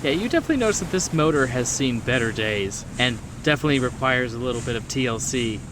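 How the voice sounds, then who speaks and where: A man's voice: mid-range voice, animated, fast, background noise. Man narrating a game over engine ambience. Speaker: game master. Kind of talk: improvised tabletop horror game narration. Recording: clear boat engine ambience behind.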